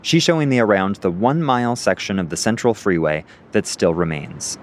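A man's voice: fairly low pitch, animated, fairly quick, slight background noise. A male reporter narrating a scripted radio feature, partly recorded outdoors in a city park.

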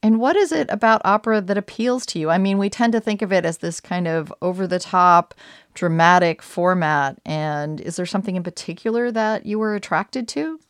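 A woman works as an interviewer, putting prepared questions in a conversational way. Her voice sits at a middle pitch. The recording is dry, with hardly any room around it. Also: normal speed, dry recording.

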